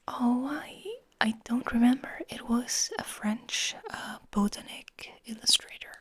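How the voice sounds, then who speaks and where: Slow, whispering woman ASMR host. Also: fairly high pitch, steady tone, dry recording. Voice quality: breathy whisper. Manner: soft, soothing, slow and unhurried.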